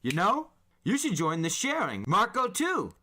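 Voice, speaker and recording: Man playing teen older brother for an audiobook: casual, cajoling, quietly prying, higher-pitched and punchy. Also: huge pitch swings, a little slow, full character voice. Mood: breezy, cajoling. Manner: breezy, cajoling questioning. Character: casual, probing teenage older brother, slyly friendly.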